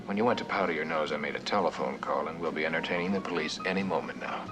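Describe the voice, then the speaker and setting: An actor playing a stubborn, suspicious professor in mid-century suspense drama, with an insistent, sardonic manner. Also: male voice, rumbling low, fast talker, music in the background. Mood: dry, determined. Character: stubborn professor, idealistic and suspicious, confronting betrayal.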